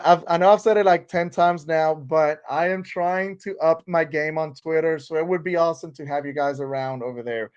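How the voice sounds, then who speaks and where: A man's voice: a little high, smooth, dull audio. A man from Puerto Rico, a native Spanish speaker with a Puerto Rican accent, hosting a casual live chat and rambling with many fillers and restarts. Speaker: live stream host.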